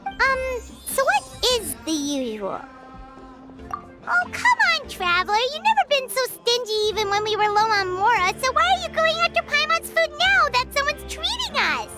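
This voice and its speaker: A woman voicing a small, excitable, cute fairy companion in a video game, with a squeaky childlike voice and a dramatic way of talking. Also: normal speed. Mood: hesitant, then indignant. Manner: refers to self in third person, dramatic. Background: game music.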